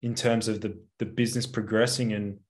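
A man's voice: on the low side, flat delivery, brisk. A man hosting a podcast, asking questions in a loose, filler-heavy way. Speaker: podcast host. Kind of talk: casual interview questions.

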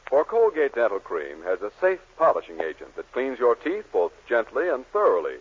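A man delivering a 1940s radio commercial in a smooth, persuasive sales pitch. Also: huge pitch swings, normal speed. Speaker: commercial announcer. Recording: tinny old radio broadcast.

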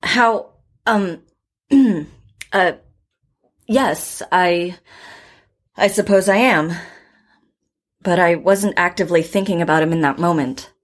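Lady therapist in an audio drama, slightly low voice, careful n measured, asks probing stuff. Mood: flustered. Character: measured, probing female psychotherapist, professional and composed. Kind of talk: naturalistic audio drama acting.

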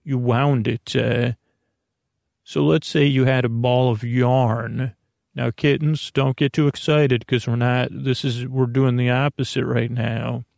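A man delivers a meandering bedtime monologue as a podcast host. His voice is full of creak and sits at an ordinary male pitch. He halts, repeats himself and wanders back to where he left off, all in a very dry recording with no room sound. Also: flat delivery, a little mellow, no room sound. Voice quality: gravelly, creaky voice. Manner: halting, repeats words, loses the thread and circles back.

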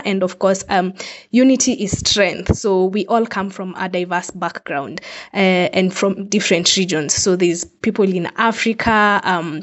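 A young woman from Kenya, a nutritionist, speaks in a relaxed interview with a few ums. Her pitch sits in the middle range. The recording is a little dull and lo-fi. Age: 20s. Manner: casual, with fillers. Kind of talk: interview talk.

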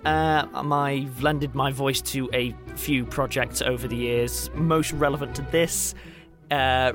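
A man chatting casually as a player at a tabletop game, with a hesitant, playful manner. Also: mid-range voice. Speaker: player and podcast company founder.

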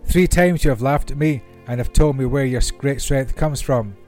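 A man's voice: medium pitch, moderate pace, a little mellow. A man reading Bible stories aloud as scripted narration. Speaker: Bible reader. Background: classical orchestral music.

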